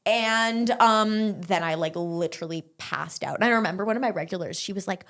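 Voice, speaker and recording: A young woman, a barre instructor, talking casually as an interview guest, chatty and quick with lots of filler words. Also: mid-range voice, a bit bright. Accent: English-speaking, non-French.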